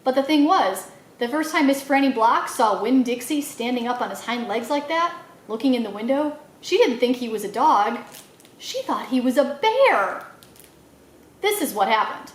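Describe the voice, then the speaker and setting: Female storyteller, high lively voice, expressive children's read-aloud narration, roomy echoey sound. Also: normal speed. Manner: expressive, acts out dialogue. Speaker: library storyteller reading a children's novel aloud.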